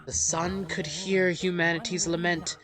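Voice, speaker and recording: Woman, low voice, slow pace, game host, dramatic storytelling, commentary asides, faint music behind. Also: steady tone. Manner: dramatic storyteller delivery with interjections. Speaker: let's play host.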